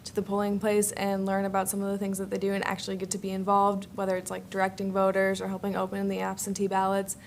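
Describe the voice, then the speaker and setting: A woman's voice: medium pitch, monotone, fast, hardly any pauses. A teenage young woman giving an informal group presentation as a student club member, in a chatty, upbeat way. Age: teens. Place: indoor school board meeting room. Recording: faint room noise, public meeting.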